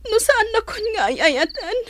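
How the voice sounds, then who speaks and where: A woman's voice: extremely high pitch, big pitch swings, measured pace, background noise, exaggerated voice. A woman acting a hurt, tearful young woman in a radio drama. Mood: hurt, resigned. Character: heartbroken young woman Estela, wronged lover.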